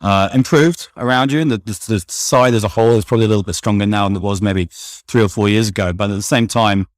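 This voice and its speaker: A man hosting an interview, asking questions in a measured, conversational way with some fillers. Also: lowish voice, no room sound, studio quality. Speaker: podcast host, interviewer.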